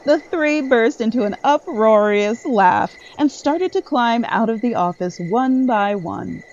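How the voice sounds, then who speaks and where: A woman's voice: on the high side, expressive, unhurried, darkish tone, muffled recording. A woman reading scripted dramatic narration as the narrator of a story.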